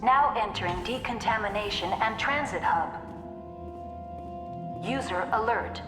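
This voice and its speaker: A woman playing a calm, procedural computer intelligence in a video game, speaking in formal, emotionless announcements. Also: mid-range voice, a little slow, music in the background, close to an everyday voice. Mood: impassive. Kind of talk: scripted video game voice acting.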